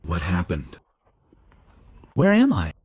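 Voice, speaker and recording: An actor playing a confused, gentle old widower in a radio drama, breathy and halting. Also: male voice, low voice, wildly swooping pitch, dry recording, full character voice. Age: older. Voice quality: husky, breathy.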